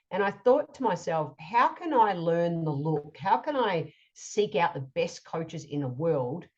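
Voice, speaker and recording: Husky woman, eager storyteller. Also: lowish voice, darkish tone. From Australia. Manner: enthusiastic, quick storytelling. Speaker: co-host and author. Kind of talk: casual podcast chat. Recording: dull lo-fi audio.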